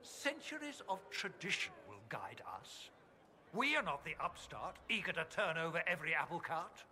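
A man's voice: fairly high pitch, very animated, moderate pace, pushed and bright, slight background noise, full character voice. A man playing a pompous, indignant church chancellor in a fantasy video game, haughty and declamatory. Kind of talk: video game dialogue acting.

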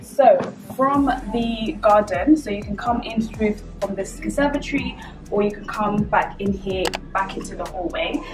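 A woman's voice: medium pitch, moderate pace. Echoey, chatty young woman vlogger. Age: young adult. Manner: chatty, addressing viewers directly. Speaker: vlogger giving a house tour. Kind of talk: casual vlog walkthrough. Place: empty rooms of a small house, indoors. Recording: echoey empty rooms, distant mic, music.

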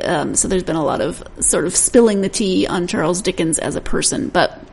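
Creaky-voiced woman hosting a podcast. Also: mid-range voice, darkish tone. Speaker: podcast host. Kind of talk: conversational book review podcast hosting.

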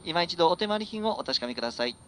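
A man's voice: animated, quick pace, a bit bright. A man working as a train conductor, giving formulaic live announcements over the PA. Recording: train PA with vehicle noise. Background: train rumble.

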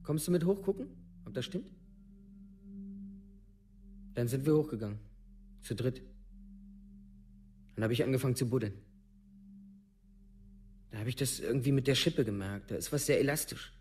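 A shaken village teenager played by a man recounts things in a radio play monologue. His voice sits at a middle pitch, and his wording is blunt, colloquial and halting. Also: lively intonation, a little mellow, natural voice. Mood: tense recollection. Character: Matthias, rough, shaken teenage village friend of victim.

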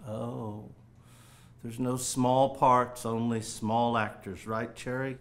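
A man's voice: mid-range voice, flat delivery, slow pace. An actor playing a pompous, tyrannical film director, theatrical and condescending as he barks orders in a comedy.

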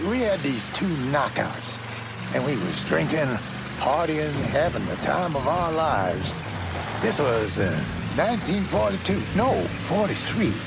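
An actor playing a foul-mouthed, boisterous aging mobster with an American accent and a gravelly, rambling, streetwise delivery in a video game. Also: male voice, on the high side, exaggerated voice. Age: older. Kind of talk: video game character acting. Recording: game audio over loud music, engine noise.

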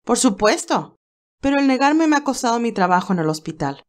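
A woman voicing a cheerful but anxious young nurse who talks in a lively, hesitant way. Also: fairly high pitch, a little fast, pitched above the performer's own voice. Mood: worried.

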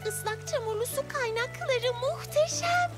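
A woman's voice: extremely high pitch, normal speed, loud music behind the voice. A woman voicing a cheerful, eager young girl heroine in a dubbed children's cartoon.

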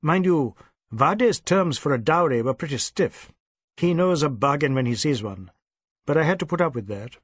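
A male narrator plays an older Indian gentleman of the club, proper and brisk. He speaks in clipped, old-fashioned phrases, with a raised pitch that moves freely and a husky edge. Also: animated, no room sound. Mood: matter-of-fact.

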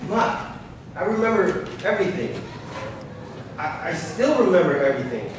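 A young man playing the defensive, resentful grown son of a refugee mother in a staged play reading, sometimes halting. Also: high-pitched, sing-song. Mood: insistent, hurt. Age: young adult. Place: large glass-walled hall, on stage. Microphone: handheld microphone through PA speaker. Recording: echoey, distant, noisy live stage recording.

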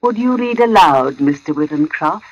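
An actress playing a strange, pallid little housewife with eerie courtesy in an old radio drama. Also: female voice, low-pitched, animated, moderate pace, highs cut off. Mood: eerily courteous.

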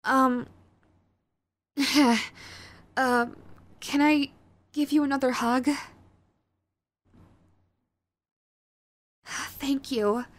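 A woman's voice: high-pitched, fairly even intonation, very slow, a little hiss or hum. A woman playing a young, sweet, clingy yandere girlfriend in an intimate audio roleplay, with a cutesy, hesitant manner. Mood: flustered, hesitant. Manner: cutesy, hesitant, gushing pet names. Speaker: voice actress.

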